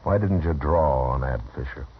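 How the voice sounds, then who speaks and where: An actor playing a stern, steady frontier marshal, terse and authoritative, in an old radio western. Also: male voice, rumbling low, normal speed, slightly rough. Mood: grave, quiet. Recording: muffled vintage radio recording.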